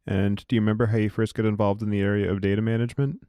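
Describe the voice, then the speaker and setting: A man reading scripted podcast host segments in a measured, articulate way. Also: on the low side, little pitch movement, warm tone, clear, resonant tone, no echo. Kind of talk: scripted podcast read and interview.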